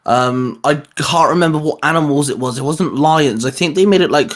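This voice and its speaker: A man with a slightly high voice speaks as the host of an online show. His delivery is casual and conversational. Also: fairly quick, a bit bright. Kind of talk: casual chat about cartoons.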